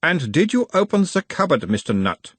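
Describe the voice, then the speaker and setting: A man's voice: on the high side, very animated, normal speed, a bit bright, husky, no echo, pitched above the performer's own voice, full character voice. A man voicing an insistent analyst persona in an audiobook, formal and clinical. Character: insistent, probing male analyst persona of a hypnotised creature.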